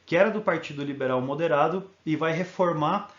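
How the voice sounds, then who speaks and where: A man's voice: fairly high pitch, a little fast, a bit bright, echoey room, muffled recording. A male history teacher giving a recorded video lesson, explaining in an informal way and often checking in with tag questions.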